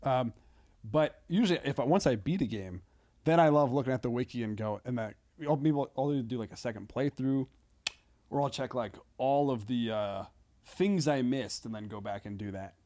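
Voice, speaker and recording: A man's voice: medium pitch, normal speed, mellow. Casual, rambling man in podcast chat. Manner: rambling, thinks aloud, sweary. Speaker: podcast guest, comedian.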